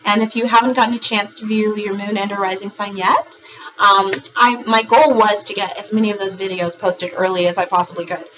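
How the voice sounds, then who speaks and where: A woman with a hoarse, raspy voice from a recent virus, giving a monthly tarot reading in a rambling way, with frequent ums and restarts. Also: mid-range voice, fairly even intonation, fairly quick, a bit bright, dull audio, slight background noise. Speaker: tarot reader.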